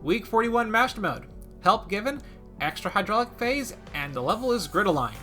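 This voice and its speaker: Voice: medium pitch, lively intonation, normal speed, pushed and bright, soft background music. A video game commentator narrating a scripted gaming video with wry, playful asides.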